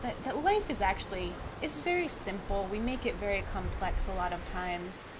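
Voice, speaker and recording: A woman's voice: mid-range voice, expressive, moderate pace, noisy background. A woman speaking candidly about a spiritual retreat, chatty and self-deprecating with easy laughs. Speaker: health educator. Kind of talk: candid testimonial.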